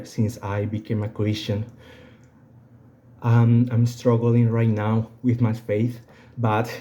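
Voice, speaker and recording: A young man with a Venezuelan accent and native Spanish, giving an unscripted personal testimony in a halting way, often repeating phrases. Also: on the low side, dark tone. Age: young adult.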